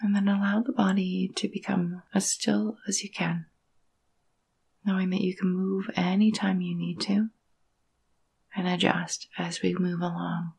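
Hushed, breathy woman guiding meditation. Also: on the low side, little pitch movement. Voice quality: soft, breathy, husky. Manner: gentle, soothing, hushed, with long pauses. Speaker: yoga nidra meditation guide. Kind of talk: guided meditation.

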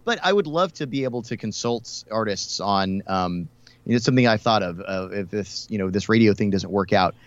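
A man's voice: on the low side, lively intonation, brisk, no echo, lo-fi sound. A man from Houston, a country radio host being interviewed, talking in a friendly, rambling way with many fillers. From Houston, Texas. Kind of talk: casual interview chat.

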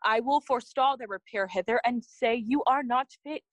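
Loyal young attendant female actor. Very high pitch, earnest, deferential, classical radio-play delivery. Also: normal speed, no room sound, natural voice. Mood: concerned. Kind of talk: classical stage verse in audio drama.

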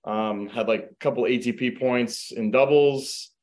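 A man's voice: medium pitch, a little slow. A middle-aged man raised in the United States, a real estate agent guest telling his background casually with many fillers over a video call. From Croatia, raised in the United States. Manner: relaxed storytelling, many fillers like um and you know. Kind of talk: casual interview.

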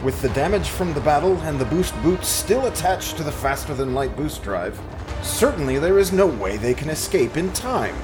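A man's voice: fairly high pitch, lively intonation, normal speed. A man presenting a podcast in a scripted, theatrical announcer style, reading out credits and story recaps. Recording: loud music under the voice. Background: music.

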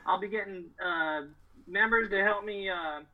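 A middle-aged American man with a husky voice, telling stories as a podcast guest in casual, slangy, emphatic, boastful talk. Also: sits high, normal speed, narrow, tinny audio. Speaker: podcast guest, door-to-door solar salesman. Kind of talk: casual, animated storytelling.

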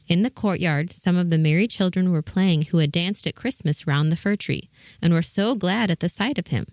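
Low-voiced woman narrating a storybook. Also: moderate pace, a little mellow, no room sound.

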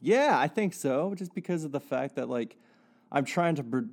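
Guy hosting his comedy podcast, a bit gravelly, rambles and restarts a lot. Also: on the high side, animated, normal speed, a little mellow. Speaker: podcast host.

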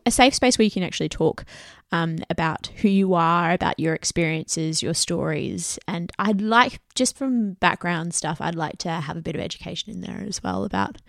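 A woman's voice: on the low side, normal speed, creaky, few pauses, dry recording, clean studio sound. A woman co-hosting a casual podcast, giggly and earnest, rambling loosely as she talks. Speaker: podcast co-host. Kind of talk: casual chat.

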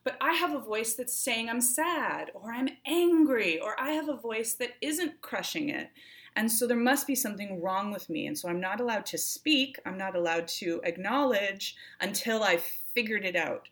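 A woman's voice: mid-range voice, expressive, moderate pace, fairly bright tone. A woman co-hosting a reflective conversation, talking thoughtfully and searching for words as she repeats and reworks her phrases. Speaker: co-host. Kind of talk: reflective conversational talk on self-care.

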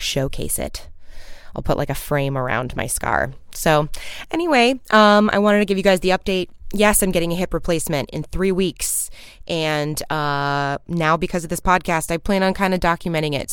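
A woman in her thirties talking casually on her podcast, upbeat and chatty with plenty of ums and the odd swear. Her voice sits fairly low and sounds smooth and clear, recorded dry and close with no room sound. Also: no echo. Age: 30s. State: low morning voice. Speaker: podcast host. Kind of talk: casual solo podcast update.

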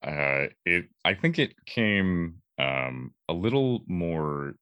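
Very low male voice, twenties, Californian accent, dry close sound, slow, reflective, halting interview talk. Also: big pitch swings, clean tone, no echo, lo-fi sound. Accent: American, Californian. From California, USA. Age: 20s. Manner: halting, thoughtful. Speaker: voice actor, interview guest.

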